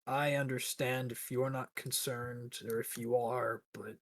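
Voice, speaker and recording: A man's voice: medium pitch, flat delivery, unhurried, a bit bright. A man playing in a tabletop roleplaying game, improvising in character in an earnest, terse way.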